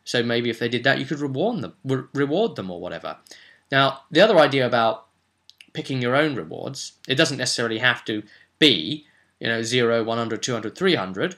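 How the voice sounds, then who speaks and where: A man's voice: mid-range voice, big pitch swings, a little fast, fairly bright tone. A man giving an informal advice monologue as a game master and host, chatty and emphatic, often putting rhetorical questions to the listener.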